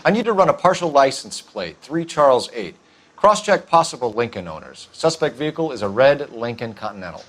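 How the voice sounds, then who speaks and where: A man's voice: mid-range voice, big pitch swings, fairly quick, a bit bright. A man playing a stern, by-the-book 1940s police detective in a video game, questioning in a clipped, formal, authoritative way. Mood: businesslike. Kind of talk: scripted video game dialogue, 1940s crime drama.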